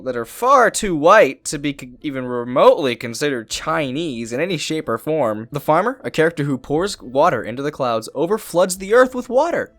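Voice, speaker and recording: A man's voice: on the high side, a bit bright. A young man narrating a mocking comedic review of a bad movie. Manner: sarcastic, mocking. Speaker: video reviewer.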